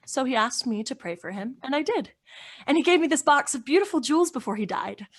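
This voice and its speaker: A woman playing a gentle, earnest teenage girl in an old-time radio drama, soft and sincere. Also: high-pitched, lively intonation, a little fast. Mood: solemn, tender.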